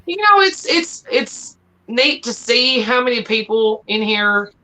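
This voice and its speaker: A woman's voice: a little high, very animated, measured pace, sharp tone. A woman with a Southern US accent chatting casually as co-host on a group live stream. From Texas, US. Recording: clearly noisy home stream audio.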